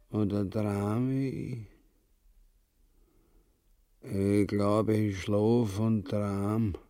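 An actor with an Austrian accent playing a pompous, gluttonous, cowardly tyrant in bombastic dialect for a grotesque comic radio drama. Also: male voice, lowish voice, mellow, clean tone. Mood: sleepy, dreamy. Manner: bombastic, drawling, mock-elevated dialect speech. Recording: long hall-like reverb.

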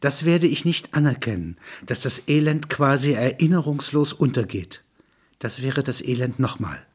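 A man's voice: medium pitch, animated, normal speed, darkish tone, breathy edge. A man reading scripted literary narration, including quoted dialogue.

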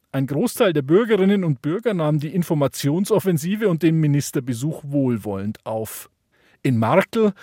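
A man reporting in a scripted news voice-over, neutral and even. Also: a little high, dry recording, studio quality.

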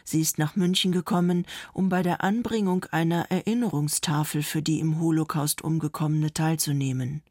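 Documentary narrator, medium pitch, slightly rough-edged tone, scripted reporting read. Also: steady tone, normal speed, hardly any pauses, a little mellow, no echo, clean studio sound.

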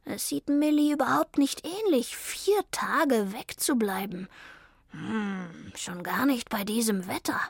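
A woman's voice: animated, slow, creaky, breathy edge, no room sound, clean studio sound, heavily acted. A woman voicing a worried, grumbling male cat in a children's story. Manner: low, grumbling.

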